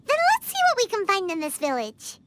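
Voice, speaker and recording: A woman's voice: very high voice, very animated, fairly quick, very noisy. A woman voicing a small, childlike, inquisitive fairy companion in a video game, chirpy and exclaiming. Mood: perky, puzzled.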